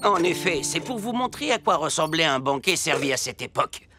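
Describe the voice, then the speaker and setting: A man's voice: fairly high pitch, sing-song, fairly quick, over music. A man voicing a courteous castle tour guide in a cartoon, announcing each sight with formal showmanship. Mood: informative, formal. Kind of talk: cartoon dub acting.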